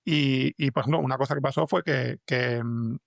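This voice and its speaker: A man with an accent from Spain telling anecdotes as an interview guest, in a halting way with many restarts. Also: mid-range voice, fairly even intonation, gravelly, dry recording, studio quality. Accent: Spanish (Spain). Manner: halting, restarts sentences often. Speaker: podcast fiction writer, interview guest. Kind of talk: conversational interview, anecdotal storytelling.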